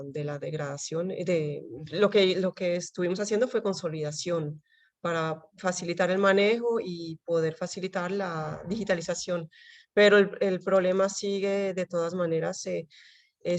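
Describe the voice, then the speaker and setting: A woman with a slight Colombian accent, answering audience questions about paper conservation after a lecture, pausing often with fillers. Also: fairly low pitch, darkish tone, dry recording, dull audio. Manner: hesitant, many fillers, technical.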